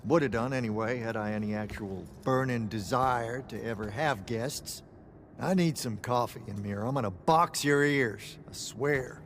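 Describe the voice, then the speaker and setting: An actor playing a blunt, shrewd older recluse in a video game, grumbling in a folksy way. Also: male voice, mid-range voice, moderate pace, a little hiss or hum, natural voice. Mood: grumpy.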